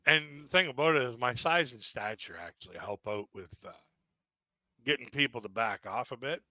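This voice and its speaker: A man's voice: mid-range voice, animated, normal speed, bright, forceful tone, no room sound. A man hosting a live-stream interview who jokes about himself. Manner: joking, self-mocking.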